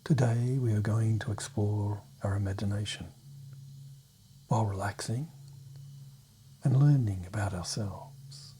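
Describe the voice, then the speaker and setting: A man leading a guided meditation in a soft, breathy, half-whispered voice, calm and soothing, pausing between short phrases. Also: lowish voice, slight background noise. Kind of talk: guided meditation, soft-spoken hypnotic suggestion.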